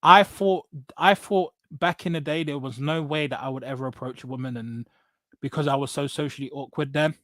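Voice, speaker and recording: An English man with a fairly high voice talks casually about his own experiences. He is blunt and emphatic, doubles back on phrases and tags points with "right?" The sound is dry and close. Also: brisk, a bit bright, dry recording. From England. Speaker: podcast guest. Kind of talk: casual personal storytelling in conversation.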